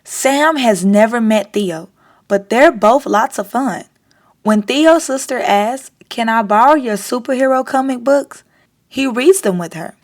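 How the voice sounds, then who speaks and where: A woman hosting a storytime, reading a children's picture book aloud in an expressive, deliberate way. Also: a little high.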